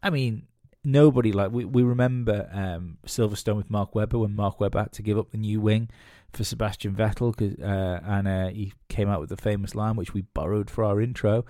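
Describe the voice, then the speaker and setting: A man's voice: fairly low pitch, steady tone, brisk, mellow, no room sound, clean studio sound. A man hosting a solo podcast, talking casually with lots of fillers and restarts, nasal and sniffly from a cold. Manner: rambling, many fillers and restarts. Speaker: podcast host.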